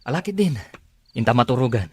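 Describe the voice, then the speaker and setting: An actor playing an older father in a radio drama, speaking softly with a husky voice. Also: medium pitch, lively intonation, fast, no room sound. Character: older father worried about his child. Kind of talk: Ilocano radio drama acting.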